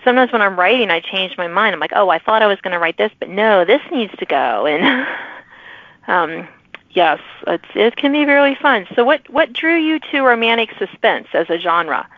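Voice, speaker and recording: A woman's voice: medium pitch, expressive, moderate pace, no room sound, lo-fi sound. A woman hosting a podcast interview in a casual, chatty and affirming conversational way. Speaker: podcast host interviewing an author.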